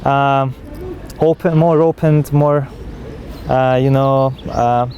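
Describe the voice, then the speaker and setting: A man's voice: medium pitch, fairly even intonation, slow, dark tone, lo-fi sound, slight background noise. A man searching for his words, with many "uh"s, as he describes people.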